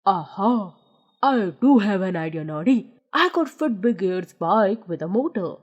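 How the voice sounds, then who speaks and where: A woman putting on a calm, capable mechanic with a deep and measured voice. He sounds kindly and steady, and the recording sounds slightly muffled.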